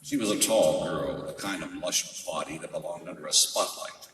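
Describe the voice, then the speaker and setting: Hardboiled male gumshoe played by an actor, noir radio drama: low, forceful, wry and world-weary, in a big echoey hall. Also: moderate pace. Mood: sardonic, assured. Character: hardboiled wisecracking male private detective. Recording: echoey hall, distant mic.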